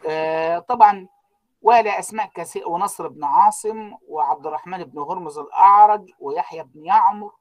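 A man giving an online university lecture, trailing off so students fill in the words, with frequent drawn-out fillers. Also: high voice. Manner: keeps quizzing students with unfinished sentences, many drawn-out fillers.

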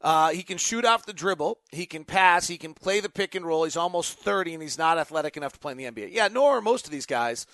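A man's voice: fairly high pitch, expressive, quick pace, hardly any pauses, fairly bright tone, no echo. An American man hosting a casual live sports podcast, conversational and emphatic, giving his opinions as he goes. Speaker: host, basketball announcer and insider.

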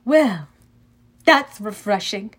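A woman voicing a smooth, condescending male underworld ferryman with a British-leaning accent, as in an audiobook. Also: mid-range voice, lively intonation, slow. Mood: dry, suave. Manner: suave, drawling, condescending.

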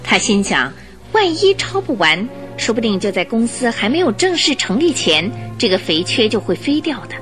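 A woman narrating a radio drama, reading a scripted story in an expressive, dramatic storytelling manner. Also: fairly high pitch, normal speed, faint music behind.

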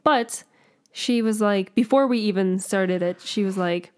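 A young woman co-hosting a podcast, telling a candid story in a giggly, animated way. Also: medium pitch, unhurried. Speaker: podcast co-host. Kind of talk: casual podcast chat.

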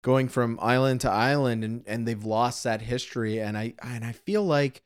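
A man's voice: mid-range voice, moderate pace, smooth. A Canadian man muses through a casual movie chat, circling his point with fillers and restarts. His manner is reflective and easygoing, working out his thoughts as he speaks. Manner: reflective, rambling, many fillers. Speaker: film podcast co-host.

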